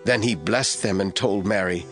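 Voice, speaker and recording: A man giving measured, scripted Bible narration in a storytelling manner. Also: on the low side, a bit bright, faint music behind.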